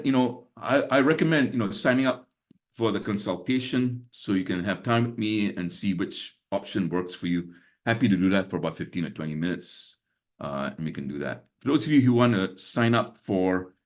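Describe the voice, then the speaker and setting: A man in his sixties who grew up in Singapore, hosting a webinar on a video call in a chatty way, full of fillers and small chuckles. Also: deep voice. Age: 60s. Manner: chatty, many fillers, chuckles between points. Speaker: webinar host and business mentor. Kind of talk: casual live webinar talk.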